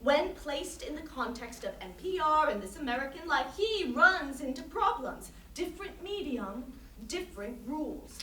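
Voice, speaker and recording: A woman's voice: high voice, big pitch swings, moderate pace, sharp tone, full character voice. A woman acting a grandiose, earnest conceptual artist who lectures emphatically in a staged interview. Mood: lofty, lecturing. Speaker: actor in a staged reading. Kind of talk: theatrical monologue in interview form. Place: staged reading in a reverberant room. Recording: roomy, distant microphone, noisy hall.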